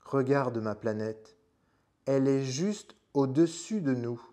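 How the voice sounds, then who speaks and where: A man voicing a small, lonely boy prince in a storybook, with gentle, pensive, questioning delivery. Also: mid-range voice, lively intonation, slow.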